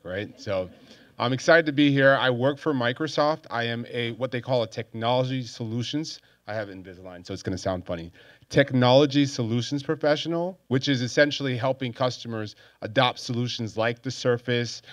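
A man in his thirties, born in Nigeria and raised in Hawaii, speaking on a panel in a friendly, conversational way into a handheld mic. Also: medium pitch. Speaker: panelist, tech professional. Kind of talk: panel introduction to students. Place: stage at a large event space. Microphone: handheld microphone.

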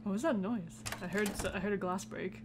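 A woman's voice: mid-range voice, brisk, noisy background. A woman hosting a gaming video with casual, reactive commentary, muttering informal asides to herself.

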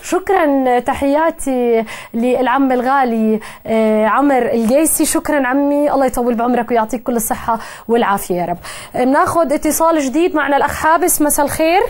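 A woman in her thirties with a Jordanian Arabic accent, hosting a live call-in television show from a studio desk, talking in a brisk, friendly way. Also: high-pitched, a little mellow.

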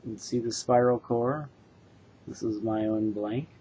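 A man in his forties from the United States gives an earnest, halting product demonstration as a craftsman, recorded indoors on a webcam mic. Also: mid-range voice, steady tone, warm tone, a little hiss or hum. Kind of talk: informal product demonstration to camera. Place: small bedroom, indoors. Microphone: webcam or laptop mic at desk distance. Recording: dull, lo-fi webcam sound.

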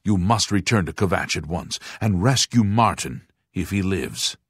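A man playing a grave aging monk and former guard captain in a fantasy video game, speaking formally and deliberately with a husky voice. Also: sits low, animated, dry recording, clean studio sound, exaggerated voice. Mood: urgent. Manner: formal, solemn, deliberate enunciation.

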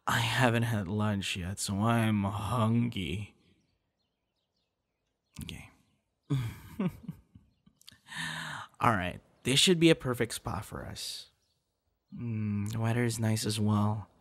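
Slow-talking, affectionate young boyfriend played by a man. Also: fairly low pitch. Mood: relaxed. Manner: teasing, encouraging, halting with soft laughs and gasps. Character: playful, affectionate young male voice actor on a date. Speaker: voice actor in audio roleplay. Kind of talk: ASMR boyfriend roleplay.

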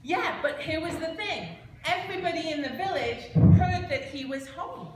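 A middle-aged woman, a church storyteller narrating in an animated way for children. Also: very high voice, normal speed. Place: large church sanctuary. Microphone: handheld microphone. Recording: echoey church, noisy room.

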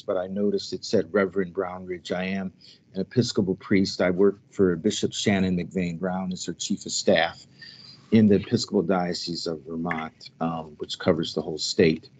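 A middle-aged man with a lowish voice speaks through a headset on a video call, his audio dull and muffled. He introduces himself in a relaxed, self-deprecating way, pausing with fillers. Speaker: council member, priest. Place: hotel room, joining remotely.

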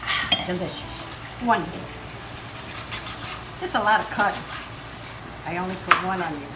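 A woman's voice: fairly low pitch, measured pace, very noisy. An older woman cooking in her home kitchen, chatting in a bubbly, theatrical way and breaking into song, filmed on a phone. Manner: bubbly, theatrical, sings and chats while cooking. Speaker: home cook showing a recipe. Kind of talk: casual cooking vlog. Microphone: handheld phone camera nearby. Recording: roomy kitchen with loud music.

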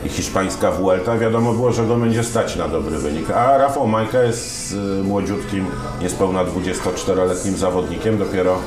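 A man in his fifties with a lowish voice talks through a relaxed interview, winding through his stories with fillers and self-corrections. His voice carries a roomy echo, and music plays clearly behind him. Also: moderate pace, few pauses. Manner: storytelling, many fillers and restarts. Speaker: sports commentator, interview guest. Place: dim room lined with wine shelves, indoors. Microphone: lapel mic.

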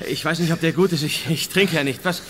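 A man's voice: a little high, fairly even intonation, quick pace, background noise, close to an everyday voice. An actor playing a jittery, squeamish but eager man, stammering and babbling in an audio crime drama. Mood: breathless, shocked.

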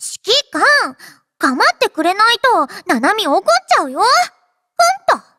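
A woman's voice: extremely high pitch, huge pitch swings, slow, pushed and bright, no echo, studio quality, heavily acted. A woman voicing a playful, affectionate, childlike robot girl in an anime game, with a cutesy, teasing manner. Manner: cutesy, refers to herself in third person, teasing. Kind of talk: anime game character voice lines.

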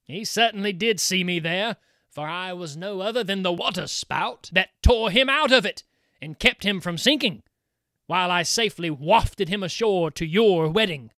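A man's voice: high voice, moderate pace, bright, forceful tone, dry recording. A man voicing a sly, mocking male water spirit who speaks with grand self-importance.

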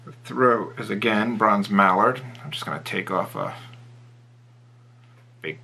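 Gravelly man muttering over his tying. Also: on the low side, measured pace. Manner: relaxed, thinking aloud, muttering under his breath while working. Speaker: fly tying instructor. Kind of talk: casual tying tutorial. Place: indoor tying desk.

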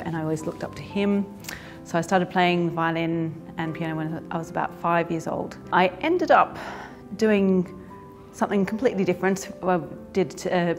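A woman music educator telling her story in an interview, lively and animated. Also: fairly low pitch. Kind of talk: interview answers.

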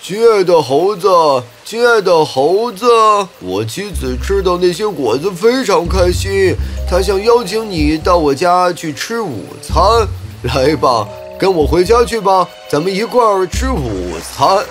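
A man voicing an earnest, soft-hearted crocodile in a children's animated fairy tale, with a pleading, emphatic delivery. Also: high voice, unhurried, hardly any pauses, soft background music, voice pushed up. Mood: inviting. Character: earnest, soft-hearted male crocodile, troubled loyal friend.

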